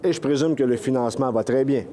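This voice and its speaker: A man's voice: mid-range voice, fast talker, dark tone, slight background noise. A man with a Quebec French accent interviewing someone in the field as a local TV reporter, asking friendly, informal questions.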